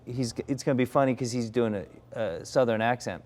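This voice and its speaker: A man's voice: medium pitch, brisk, darkish tone. A man in his forties, an actor, reflecting candidly in conversation, often restarting his sentences. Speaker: actor, interview guest.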